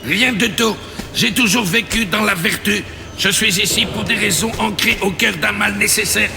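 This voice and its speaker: An actor playing a serene, devout monk with solemn, mystical phrasing in a dubbed video game cutscene. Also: male voice, sits high, moderate pace, creaky, music in the background. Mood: grave, fervent.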